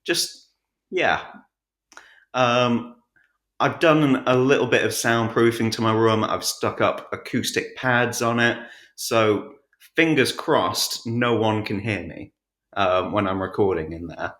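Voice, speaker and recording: A man's voice: fairly low pitch, slow pace, a bit bright. A man being interviewed about his audiobook narration, chatting casually in a self-deprecating, jokey, slightly hesitant way. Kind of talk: casual podcast chat. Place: untreated room at home. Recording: roomy home-recorded echo.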